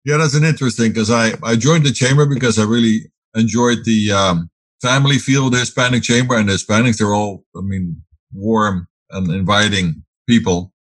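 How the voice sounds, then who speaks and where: Low husky Dutch-born man. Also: normal speed, dry recording, rough recording. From Netherlands. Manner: rambling with fillers and restarts. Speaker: guest, business owner. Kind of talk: casual interview answers.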